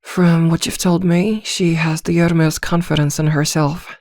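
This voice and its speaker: Woman playing a calm lady therapist, lowish soft voice, gentle n reassuring, careful pauses, asmr roleplay, really dry close sound. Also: no echo. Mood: analytical, probing. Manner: measured, reassuring, hesitant pauses with soft hums. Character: composed female therapist, gentle, attentive and discreetly probing.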